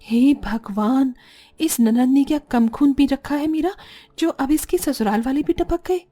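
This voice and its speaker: Woman doing a young daughter-in-law, high voice, longing, meek, grumbling, cartoon family drama. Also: a little slow, dark tone, faint music behind. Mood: resentful muttering. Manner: meek to elders, grumbling alone. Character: young put-upon daughter-in-law, longing and quietly resentful.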